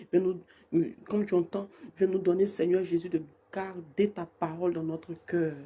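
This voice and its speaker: Husky-voiced woman praying, hoarse with a cold. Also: lowish voice, dark tone. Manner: fervent, repetitive invocations. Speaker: prayer leader. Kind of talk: live-streamed morning prayer.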